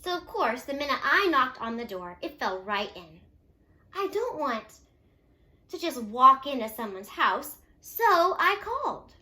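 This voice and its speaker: Lady reading as a sly storybook wolf guy, high bright voice, chatty and fake innocent. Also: big pitch swings, measured pace, exaggerated voice. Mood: mock-innocent. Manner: chatty, mock-innocent, emphatic. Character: sly, self-excusing male storybook wolf telling his side.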